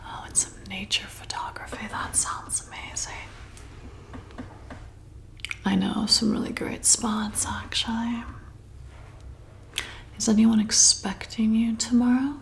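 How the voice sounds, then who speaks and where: A woman playing a flirty, slyly predatory vampire bartender in an intimate, coaxing, breathy whispered ASMR roleplay. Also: on the high side, steady tone. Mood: flirty, probing. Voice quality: breathy whisper with creaky edges. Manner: slow, soothing, intimate, coaxing.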